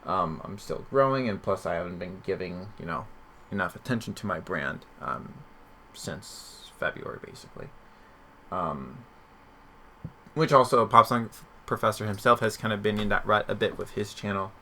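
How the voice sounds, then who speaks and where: A man chats casually as a podcast host over faint background noise. His voice is bright and forward, with a heavy fry running through it. He rambles and questions his own points as he goes. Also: fairly low pitch, moderate pace.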